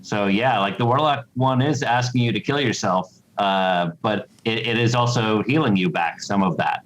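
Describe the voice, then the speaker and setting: A man giving casual interview answers as a game developer, explaining in a halting way. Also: fairly low pitch, fairly bright tone, a little hiss or hum, rough recording.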